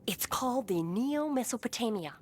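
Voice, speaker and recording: An actress playing a formal, serious official giving a report in an English anime dub. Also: female voice, a little high, animated, moderate pace, a little mellow, a little hiss or hum, natural voice. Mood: grave, formal.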